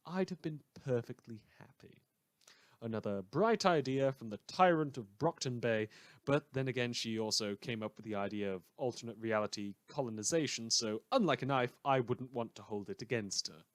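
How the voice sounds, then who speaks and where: Sardonic male community-radio newscaster, acted by a man, middle-pitched, wry and theatrical, rambling asides, very dry recording. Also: a bit bright, no room sound, close to an everyday voice. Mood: sardonic, chatty. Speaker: radio news host. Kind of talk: satirical scripted radio news monologue.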